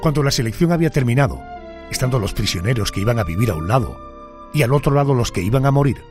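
A male host mixing measured, dramatic narration with questions to his guest. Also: medium pitch, animated, a little mellow, soft background music. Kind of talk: documentary narration and interview questions.

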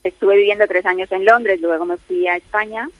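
A woman's voice: mid-range voice, fairly even intonation, fairly quick, no echo. A middle-aged Colombian-born woman with a Spain Spanish accent, chatting as a caller, talkative with many fillers and restarts. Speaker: listener caller. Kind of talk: casual phone chat. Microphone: phone line. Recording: dull lo-fi phone line.

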